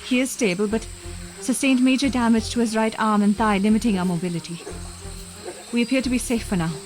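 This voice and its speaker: An actress playing a composed, analytical member of a hunting party in an audio drama, speaking precisely and formally. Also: female voice, fairly high pitch, brisk, darkish tone, faint music behind, lightly acted. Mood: composed, reporting. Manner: precise, formal, level-headed.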